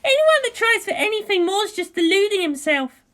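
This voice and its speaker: A woman voicing a fiery, haughty young female pilot in an audiobook story, sharp and challenging. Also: extremely high pitch, big pitch swings, fairly quick, pitched above the performer's own voice, heavily acted. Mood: scornful, defiant.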